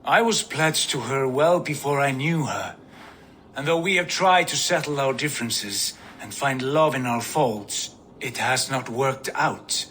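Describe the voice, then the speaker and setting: Man doing a soft-spoken viking jarl, slow and bright, little hiss behind. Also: medium pitch, natural voice. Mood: earnest. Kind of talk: video game cutscene acting.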